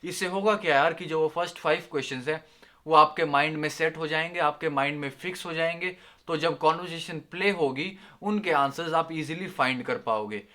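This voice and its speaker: A man's voice: a little high, brisk, bright, forceful tone. A young man giving casual spoken exam tips to his viewers in a friendly, chatty way, often dropping English phrases into his talk. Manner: friendly, addresses viewers as "yaar" and "doston", mixes English phrases.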